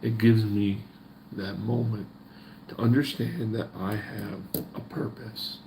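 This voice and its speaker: A man with a gravelly voice talks to the camera, folksy and preachy, repeating his points about faith and purpose. He sounds like he is in an echoey room, with a faint ambience behind him. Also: lowish voice, fairly even intonation, takes it slowly, dark tone, slight background noise. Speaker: faith vlogger. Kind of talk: casual motivational talk to camera.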